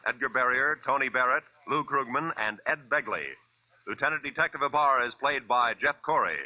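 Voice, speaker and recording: A man announcing scripted credits in 1940s radio style, formal and measured. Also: medium pitch. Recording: tinny vintage radio recording. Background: music.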